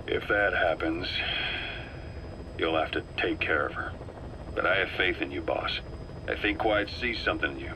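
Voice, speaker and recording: An actor playing a calm, calculating middle-aged military officer in a video game, speaking with measured, persuasive assurance. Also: male voice, low voice, background noise, natural voice. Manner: measured, assured, reasoning patiently. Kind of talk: dramatic game voice acting.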